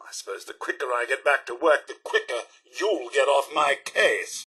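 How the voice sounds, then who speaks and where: A man playing a fussy, posh and polite robot butler in a video game, with a British accent, raspy voice and formal, florid delivery. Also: mid-range voice, animated, moderate pace, pushed and bright. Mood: weary, grudging. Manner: formal, florid, servile diction with dry wit. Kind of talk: theatrical video game character acting.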